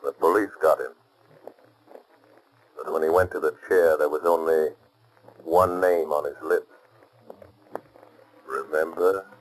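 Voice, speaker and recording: An actor playing an unseen, commanding crimefighter with slow, ominous delivery in a 1930s radio drama. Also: male voice, a bass voice, steady tone, a little hiss or hum, rough recording. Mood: ominous warning. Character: the Shadow, unseen male crimefighter, menacing, commanding. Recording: 1930s radio recording with music.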